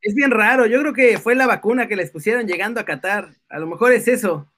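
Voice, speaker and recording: Excitable, high-voiced Mexican man, bright tone. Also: fairly quick. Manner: excitable, playful, exclamatory. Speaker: live stream co-host. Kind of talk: casual live-stream banter.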